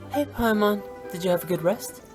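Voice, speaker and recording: A woman's voice: medium pitch, animated, normal speed, over loud music, natural voice. A woman voicing a confident young female funeral-parlor director in a video game, briefing the others in a self-assured way.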